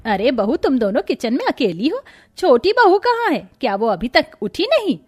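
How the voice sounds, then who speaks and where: A woman acts out a stern matriarch of a cartoon household. Her voice sits somewhat high, and she speaks with the commanding, scolding manner of a middle-aged mother-in-law. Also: sing-song, moderate pace, a little mellow. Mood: suspicious. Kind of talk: Hindi cartoon family story voice acting.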